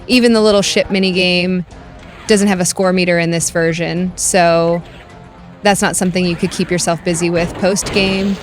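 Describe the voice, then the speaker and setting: A woman's voice: on the low side, fairly even intonation, moderate pace. A woman reading scripted review narration as a video game reviewer, with music behind her.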